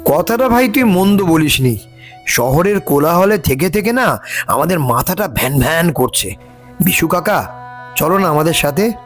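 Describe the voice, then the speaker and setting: Eager, high-pitched college boy. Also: lively intonation, moderate pace, soft background music. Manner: chatty, enthusiastic, curious. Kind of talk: cartoon voice acting.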